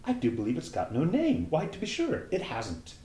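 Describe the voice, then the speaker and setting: A man's voice: medium pitch, huge pitch swings, normal speed, warm tone, reverberant, a little hiss or hum, voice pushed up. A man reading aloud as a curious young girl who rambles and muses to herself, timid when asking questions. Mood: musing.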